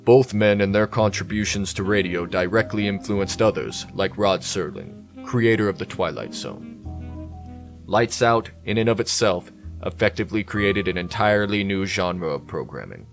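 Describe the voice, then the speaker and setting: Male documentary-style narrator, scripted and measured, on the deep side, music underneath. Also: lively intonation. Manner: measured, formal. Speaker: radio show presenter. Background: guitar music.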